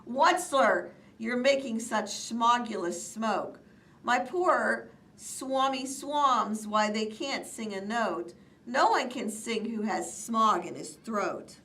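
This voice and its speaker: A woman's voice: a little high, takes it slowly, a bit bright, far from the mic. A woman voicing a fussy, grumpy male forest guardian in a storybook read-aloud, scolding indignantly between coughs and sniffs.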